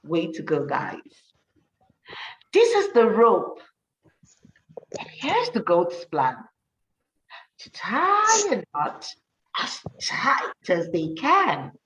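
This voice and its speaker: A woman teacher reading a rhyming story for children, with an encouraging manner and deliberate, emphatic delivery. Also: fairly low pitch, huge pitch swings, fairly bright tone, distant mic, poor audio. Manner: encouraging, praising, addressing children directly.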